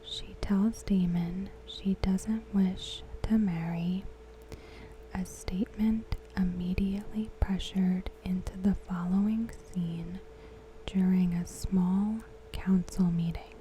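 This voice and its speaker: A woman reads aloud softly in an ASMR style, in a hushed, breathy whisper with short phrases and long pauses. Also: fairly low pitch, steady tone, a little hiss or hum, poor audio. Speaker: ASMR host.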